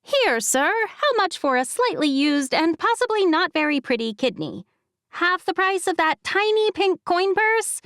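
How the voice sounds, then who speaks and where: A woman's voice: very high voice, big pitch swings, unhurried, fairly bright tone, no echo, studio quality, voice pushed up, full character voice. A woman voicing a sassy, petite young woman friend who talks bluntly and teasingly. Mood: joking.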